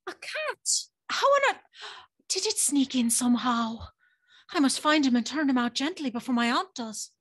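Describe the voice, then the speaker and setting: A woman's voice: high voice, lively intonation, moderate pace, fairly bright tone, dry recording. An actress playing a timid, curious, polite young servant girl in an audio drama, telling her story in a hesitant, wondering way. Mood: nervous, curious. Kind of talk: audio drama acting with first-person storytelling.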